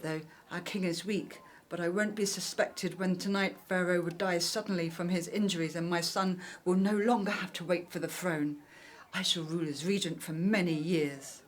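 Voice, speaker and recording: A woman playing a ruthless, scheming Egyptian queen in an audio drama, with a stately, formal, commanding delivery. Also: on the low side. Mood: gloating, hushed. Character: imperious, ruthless scheming Egyptian queen, first royal wife.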